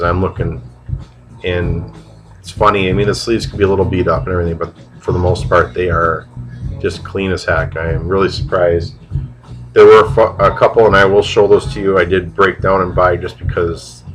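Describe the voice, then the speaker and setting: A man with a cold, sounding a bit nasal, chatting casually and haltingly on camera about records he found, with plenty of fillers and restarts. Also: deep voice, a bit bright, low-quality recording. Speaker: vinyl record collector showing finds. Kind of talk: casual video vlog.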